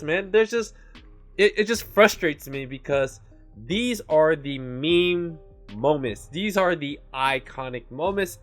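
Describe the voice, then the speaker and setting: Chatty man with a raised voice. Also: fairly high pitch. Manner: chatty, leans on "like" and "you know"; calls his own voice monotone. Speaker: anime commentary host. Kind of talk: casual opinion commentary.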